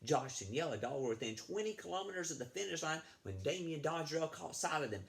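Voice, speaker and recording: A man reading a picture book aloud to children in an animated, chatty way, breaking off to ask them questions. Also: a little high, fast talker, creaky. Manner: animated, chatty, asks the young listeners questions. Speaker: children's read-aloud storyteller, school librarian.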